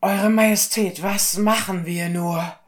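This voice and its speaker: A woman voicing a panicked royal servant in a children's fairy tale, loud, distressed and pleading. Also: medium pitch, steady tone, slow, pitched above the performer's own voice, natural voice. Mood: panicked, distraught. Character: panicked royal servant reporting a disaster to the king.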